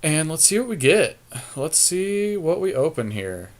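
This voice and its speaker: A man's voice: a little high, very animated, measured pace. A man co-hosting a podcast, giving casual card game draft commentary and thinking aloud in an easygoing, tentative way. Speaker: podcast co-host.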